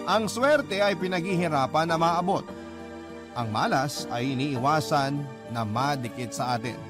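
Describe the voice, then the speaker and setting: A man hosting a radio drama, reading a listener's letter in earnest, measured storytelling. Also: on the high side. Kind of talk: scripted dramatic narration. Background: music.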